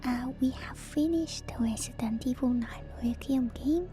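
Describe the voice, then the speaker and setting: A woman livestream host chatting in a soft ASMR style, moving between whispers and quiet speech, very close to the mic with audible mouth noises. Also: high-pitched, measured pace. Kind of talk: whispered ASMR stream chat. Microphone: very close microphone with gain turned up, mouth noises. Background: music.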